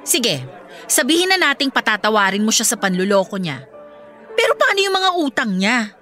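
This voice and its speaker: A woman's voice: on the high side, sing-song, normal speed, pushed and bright. A woman with a Filipino accent playing a blunt, exasperated best friend in a radio drama, scolding and emphatic. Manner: scolding, emphatic, straight-talking. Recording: music playing behind the dialogue.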